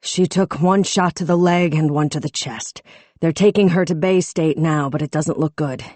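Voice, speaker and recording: Woman reading a stern gruff police chief guy, low voice, dry close mic. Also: fairly even intonation, normal speed, darkish tone, no room sound. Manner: curt, authoritative. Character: stern middle-aged male police chief.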